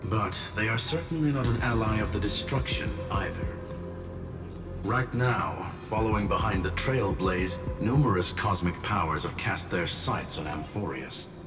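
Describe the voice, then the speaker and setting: Man acting a deep, measured, formal leader. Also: close to an everyday voice. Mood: resolute. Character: composed, logical gentlemanly male leader. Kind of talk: dramatic game dialogue. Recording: echoey, music behind.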